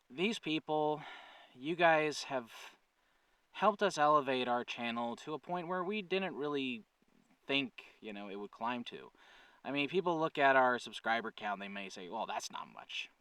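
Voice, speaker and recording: A man's voice: medium pitch, bright, forceful tone, clean tone, no room sound, recorded from a distance, rough recording. A man speaking as a channel host in a casual, earnest update to viewers, thinking aloud and correcting himself as he goes. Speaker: channel creator and host, writer-producer.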